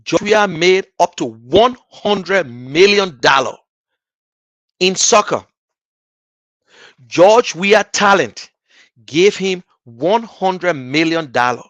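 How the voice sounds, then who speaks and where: Liberian man ranting slowly. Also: high voice, animated, dry recording. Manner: starts slow and soft, grows loud and heated. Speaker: political commentator. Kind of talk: talking straight to camera.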